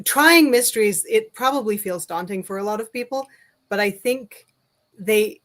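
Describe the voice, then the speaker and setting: Medium-pitched woman, thoughtful interview guest. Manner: thoughtful, explanatory, informal. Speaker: guest, fiction writer. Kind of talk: casual interview chat giving advice.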